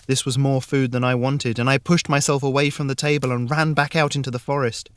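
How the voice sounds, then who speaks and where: A man narrating a scripted fairy tale in the first person, measured and with dramatic pauses. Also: medium pitch, level tone, fairly bright tone, smooth. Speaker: story narrator.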